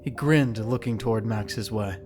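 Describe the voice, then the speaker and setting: A man's voice: lowish voice, measured pace. A man giving scripted, dramatic story narration for an audio drama. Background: background music.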